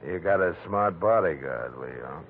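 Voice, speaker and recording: Deep-voiced, sly ex-convict gangster. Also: male voice, lively intonation. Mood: cool, needling. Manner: taunting, mock-polite, unhurried. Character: sly, menacing male ex-convict gangster, mockingly polite. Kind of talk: 1940s radio acting. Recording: muffled, hissy vintage radio recording.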